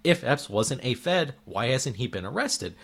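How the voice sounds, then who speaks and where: A man's voice: medium pitch, big pitch swings, a little slow, fairly bright tone, breathy edge. A man delivering an indignant opinion monologue as a political commentator, firing off rhetorical questions while losing his voice.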